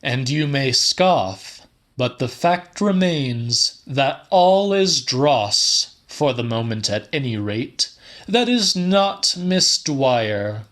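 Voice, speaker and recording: Audiobook role: male reader as an impassioned professorial philosopher, raised pitch, slow emphatic declaiming. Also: expressive, fairly bright tone. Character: impassioned, lovesick, grandiloquent male philosopher lecturing his pupil.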